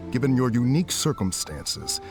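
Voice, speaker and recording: An actor playing a stern, formal law officer in a video game, speaking in a firm, articulate, official way. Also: male voice, medium pitch, sing-song, normal speed, over music, natural voice. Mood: measured.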